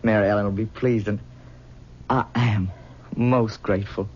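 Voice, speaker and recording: A man's voice: on the low side, fairly even intonation, normal speed, darkish tone, lightly acted. A man playing a haunted young husband who speaks theatrically and stammers under stress in a 1970s radio drama. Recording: dull noisy old radio broadcast.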